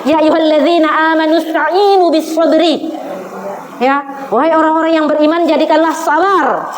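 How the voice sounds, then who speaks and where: A woman's voice: high-pitched, steady tone, moderate pace. A woman in her forties preaching to a women's congregation in a conversational way, often addressing her listeners directly. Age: 40s. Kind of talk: sermon to a women's congregation, with recited Arabic quotations. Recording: echoey room, faint background noise.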